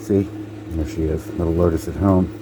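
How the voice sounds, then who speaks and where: A man reading a children's picture book aloud in a halting way, repeating words and slipping in playful asides to the listener. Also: deep voice, steady tone, heavy background noise. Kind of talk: storybook read-aloud with casual asides.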